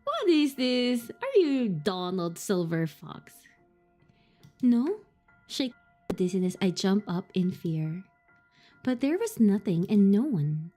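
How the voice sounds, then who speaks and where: A woman's voice: medium pitch, very animated, slow, mellow, faint music behind. A woman streaming a horror visual novel, reading the on-screen text aloud between giggles and startled swearing. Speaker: streamer playing a horror game.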